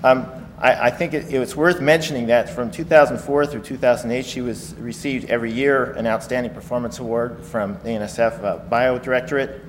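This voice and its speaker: Halting man introducing a speaker. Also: mid-range voice, fairly even intonation. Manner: halting, with many fillers. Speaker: event host introducing a speaker. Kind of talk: formal introduction. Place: lecture hall. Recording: reverberant hall, faint noise.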